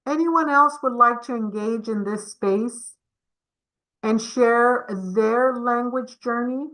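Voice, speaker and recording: A woman's voice: a little high, slow pace, a bit bright, rough recording. A woman giving a community webinar presentation over a video call, encouraging and addressing the audience with questions.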